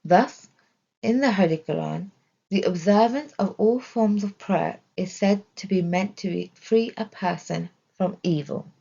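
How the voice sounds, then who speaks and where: Female narrator for religious study audio. Deliberate scripted delivery, some vocal fry, slightly muffled sound. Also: mid-range voice, mellow. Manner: measured, deliberate reading. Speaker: reader of religious study text.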